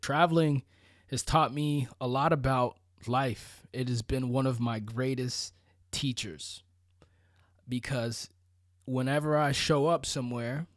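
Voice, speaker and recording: A man's voice: medium pitch, no room sound, studio quality. An American man from Florida giving a casual motivational monologue, conversational and emphatic, often checking in with rhetorical questions and asides. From Florida, United States. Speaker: vlogger.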